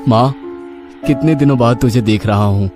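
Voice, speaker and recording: A man's voice: mid-range voice, moderate pace, lightly acted. A man voicing a curious, earnest young village man in a horror story. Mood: happy. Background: background music.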